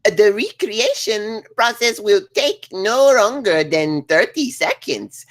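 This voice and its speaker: A woman playing a cheeky, deadpan ship's computer with a playful, officious manner in a comedy table read. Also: mid-range voice, slow pace. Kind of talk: acted table read of a comedy film script.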